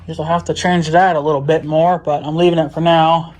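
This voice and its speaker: A man's voice: a little high, little pitch movement, brisk, darkish tone, slight background noise. A man talking casually to viewers in a hands-on DIY vlog, rambling and thinking aloud in folksy, informal words. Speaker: vlogger.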